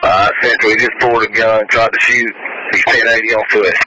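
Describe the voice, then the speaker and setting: A man's voice: fairly low pitch, level tone, fairly quick, sharp tone, rough recording. A male police officer in the field talking in terse, procedural radio traffic over a police scanner.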